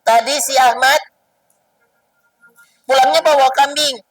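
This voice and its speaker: Man doing a greedy nosy boy, high-pitched, pushy and blurting, children's storytelling, off the mic. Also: steady tone, unhurried, no echo, distant mic, soft background music, low-quality recording.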